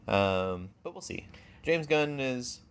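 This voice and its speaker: A man's voice: mid-range voice, animated, slow, smooth. A man chatting casually as a podcast co-host. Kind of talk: casual chat.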